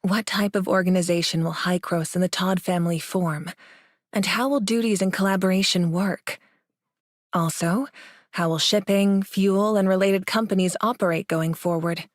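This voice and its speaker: A woman playing a composed, dutiful commander in an anime game, speaking in a formal, earnest, measured way. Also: on the low side, no room sound, clean studio sound, lightly acted. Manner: formal, serious.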